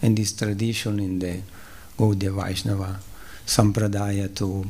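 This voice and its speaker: A man from southern Switzerland whose first language is Italian, giving a spiritual lecture at a temple in English with an Italian accent. He speaks haltingly, pausing, using fillers and clearing his throat. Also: on the low side, level tone, mellow, a little hiss or hum. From Ticino, southern Switzerland. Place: temple hall.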